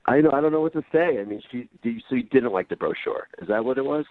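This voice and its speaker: A man's voice: medium pitch, lively intonation, quick pace. A man calling into a radio show over a phone line, chatting casually in a defensive, hedging way. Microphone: phone call. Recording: dull, noisy phone-call line.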